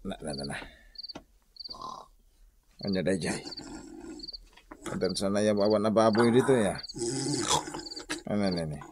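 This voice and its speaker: An actor with an Ilocano accent playing a boisterous, bumbling married villager in a comedic radio drama. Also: male voice, on the low side, measured pace, pauses often, very noisy, close to an everyday voice. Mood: sneaky, grumbling.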